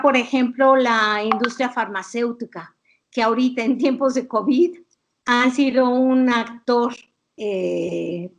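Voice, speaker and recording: Slow, higher-pitched woman explaining. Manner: didactic, deliberate, hesitant pauses. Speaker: academic expert guest. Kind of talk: explanatory interview analysis.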